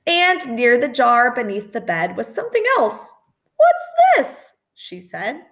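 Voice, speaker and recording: A middle-aged woman reading a children's picture book expressively, with dramatic emphasis and chatty asides. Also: a little high. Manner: animated, deliberate, dramatic emphasis. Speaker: storytime reader.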